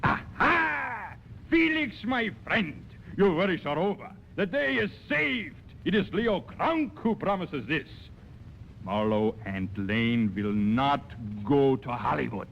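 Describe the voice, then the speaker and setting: A scheming, pompous playwright in a 1940s radio comedy. His gravelly voice sits on the high side and declaims with big swings. The old broadcast recording is dull and faintly noisy. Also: sing-song, slow pace, exaggerated voice. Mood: triumphant. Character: scheming, grandiose male playwright, theatrically self-important.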